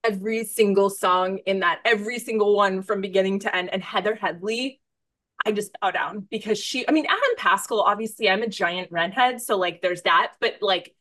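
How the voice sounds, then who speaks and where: A woman's voice: mid-range voice, normal speed, fairly bright tone. A woman hosting a casual podcast interview, talking in an effusive, emphatic way and rambling off on tangents. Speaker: podcast host.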